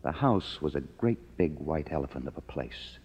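An actor playing a world-weary Hollywood writer, narrating tersely and wryly in a 1950s radio drama. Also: male voice, very deep voice, expressive, moderate pace, darkish tone, slight background noise. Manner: terse, wry. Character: world-weary male hard-boiled Hollywood writer narrating his downfall. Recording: dull old radio recording. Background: dramatic music.

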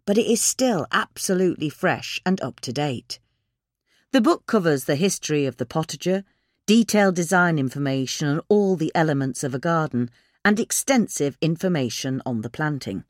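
Female narrator for a written feature: highly varied pitch, close dry sound. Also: no echo. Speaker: reader of a magazine article. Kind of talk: scripted article narration.

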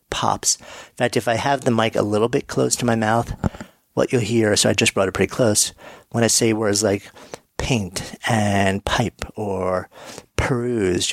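A man with a deep voice and a bit of fry talks through his recording gear in a relaxed, friendly way. The sound is dry and close, with no room around it, as he switches between a studio microphone and a headset. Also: no echo. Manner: friendly, demonstrative, self-deprecating. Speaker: podcaster showing his gear. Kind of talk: casual explainer talk. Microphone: studio dynamic mic on swing arm, later headset mic.

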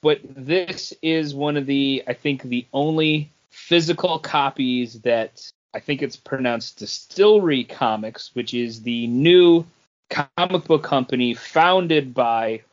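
A man with a mid-pitched voice talks casually as a podcast co-host. His delivery is conversational and informal. The recording is slightly dull and lo-fi. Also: takes it slowly. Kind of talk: casual comics podcast chat.

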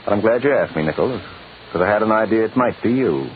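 Casting: man playing sardonic tough private eye, lively intonation, old radio drama, narrow tinny sound. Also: lowish voice, quick pace, close to an everyday voice. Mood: sardonic, accusing. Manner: sardonic, clipped wisecracking. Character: wry, tough male private detective in a radio mystery. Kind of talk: 1940s radio acting. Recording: tinny, hissy old-time radio recording.

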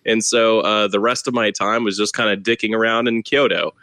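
A man from Texas tells loose, self-mocking stories in a relaxed interview, filling gaps with "uh" and frequent laughs. His voice sits a bit low but sounds bright and forward. The recording is dry and close. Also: a little fast, no echo. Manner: rambling, self-deprecating, laughs often, frequent fillers. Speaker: stand-up comedian, guest. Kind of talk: casual interview chat.

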